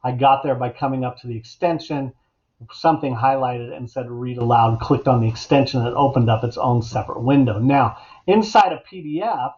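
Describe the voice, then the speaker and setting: Casual tutorial narrator: middle-aged man, mid-range pitch, muffled computer-mic sound. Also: brisk, a little mellow. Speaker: educator giving a software tutorial. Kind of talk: casual instructional screencast narration.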